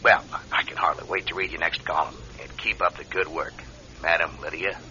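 An actor in a radio drama playing a brisk, punchy, salesmanlike newspaper publisher. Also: male voice, low-pitched, fairly even intonation, lightly acted. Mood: hearty. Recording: dull, noisy vintage radio recording.

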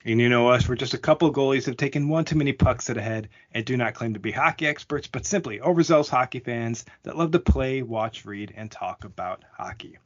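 A man hosting a hockey podcast, his voice sitting at an ordinary middle pitch. He talks in an upbeat, welcoming way. The lo-fi podcast audio sounds a little dull. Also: fairly quick, few pauses. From Canada. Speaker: podcast host. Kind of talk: casual hockey podcast chat.

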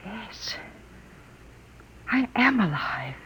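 Slow, hushed, wistful android woman. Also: mid-range voice, big pitch swings, husky, heavily acted. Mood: tender sorrow. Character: gentle artificial woman awakening to human sadness. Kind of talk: 1950s radio drama acting. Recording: muffled vintage radio recording.

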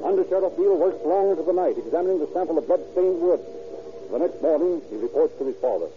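A man's voice: on the high side, lively intonation, fast talker, phone-quality sound. A male announcer narrating a radio drama in an urgent, emphatic way. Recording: thin old radio broadcast.

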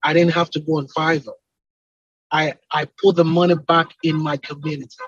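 A man with a Nigerian accent talks at length in an interview, in a slightly high, husky voice. He explains things emphatically, stacking up repeated phrases in lists. The sound is dry and close, though a bit dull and lo-fi. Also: little pitch movement, normal speed, a bit bright, no echo. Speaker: entrepreneur and company CEO, podcast guest.

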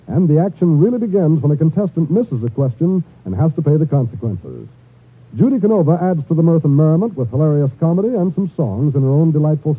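A man's voice: a little high, breathy edge. An American man announcing in scripted 1950s network radio style. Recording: muffled vintage radio, music behind.

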